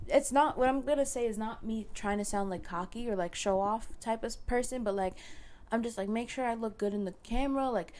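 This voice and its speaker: Hoarse young woman chatting casually. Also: a little high. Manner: rambling, lots of "like" and restarts. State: losing her voice, hoarse. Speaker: content creator. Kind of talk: casual chat to camera.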